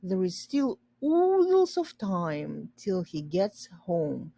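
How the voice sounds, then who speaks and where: A woman's voice: on the low side, sing-song, takes it slowly, vocal fry, mellow, breathy edge, no echo, heavily acted. A woman voicing an easygoing, gleeful young boy in a storybook, breezy and playfully reassuring.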